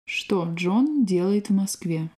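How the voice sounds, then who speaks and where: A woman reading scripted sentences and exercise prompts for a language course in a clear, measured way. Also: medium pitch, lively intonation, dark tone. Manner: clear, measured, textbook delivery.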